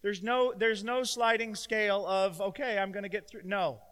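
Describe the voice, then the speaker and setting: A middle-aged man preaching a sermon as pastor, loud and emotional, with self-deprecating humor. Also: sits high, fairly even intonation, normal speed, clean tone. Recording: long hall reverb.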